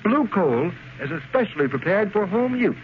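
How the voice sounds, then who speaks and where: Male announcer, 1930s ad read, high, very lively intonation, polished, emphatic, muffled old recording. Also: normal speed, a little hiss or hum. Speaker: radio announcer. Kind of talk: 1930s scripted radio commercial.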